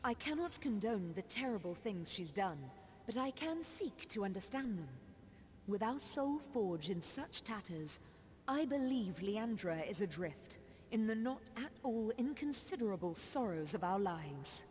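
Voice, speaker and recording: An actress playing a solemn, foreboding sorceress in a fantasy video game, speaking in grave, formal, measured phrases. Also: female voice, mid-range voice, big pitch swings, a little mellow, slight background noise. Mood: sorrowful, fearful. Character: solemn, foreboding sorceress grieving over her wayward sister. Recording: long reverberant hall.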